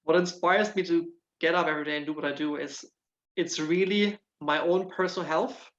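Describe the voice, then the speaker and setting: A man's voice: on the high side, steady tone, brisk, fairly bright tone. German guy w a bit of irish in his accent, earnest, repeats himself, muffled lo-fi call sound. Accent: German with some Irish. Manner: earnest, repeats words while searching for them. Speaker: guest, nutritional therapist. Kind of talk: casual interview answers.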